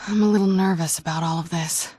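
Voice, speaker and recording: Fantasy game companion: guarded ancient vampire noblewoman, woman actor, lowish mellow voice, wry understatement, close dry sound. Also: steady tone, quick pace, dry recording, natural voice. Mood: uneasy, hushed. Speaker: adventuring companion character in a fantasy video game. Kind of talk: video game dialogue acting.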